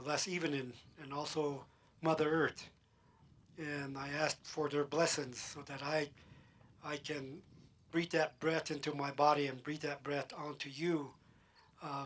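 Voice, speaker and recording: A man whose native language is a Pueblo tongue, speaking as an elder who offers a prayer and explains it to a group of students, slow and measured with frequent pauses. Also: a little high, fairly bright tone. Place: town hall meeting room.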